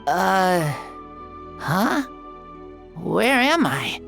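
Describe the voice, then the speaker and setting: A woman voicing a frail, earnest young child who speaks dreamily and haltingly in an anime game. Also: mid-range voice, sing-song, creaky, fairly bright tone, faint music behind, heavily acted. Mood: confused. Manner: hesitant, halting.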